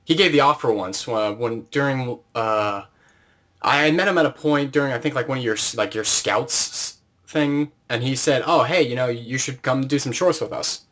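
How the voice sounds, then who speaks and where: A man's voice: medium pitch, normal speed, a bit bright. A man hosting an interview in a casual, conversational way, trading recollections as he talks. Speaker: interviewer, host.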